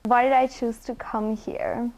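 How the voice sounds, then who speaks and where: A woman's voice: a little high, flat delivery, measured pace, vocal fry, darkish tone, lo-fi sound. A young woman from Ecuador, a college student, answering interview questions in a casual, conversational way with frequent "you know". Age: young adult.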